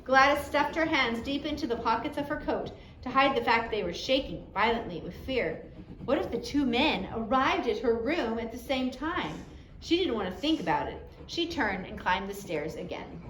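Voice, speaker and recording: A woman reads a story aloud in a fairly high voice. The recording is quite echoey, with faint noise in the background. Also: expressive, moderate pace, a bit bright. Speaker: audiobook reader. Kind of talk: storybook narration, read aloud.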